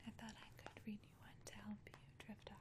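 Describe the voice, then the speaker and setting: A woman whispering softly and breathily in a gentle, soothing ASMR style, as a caring friend reading a bedtime story. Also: medium pitch, monotone, quick pace.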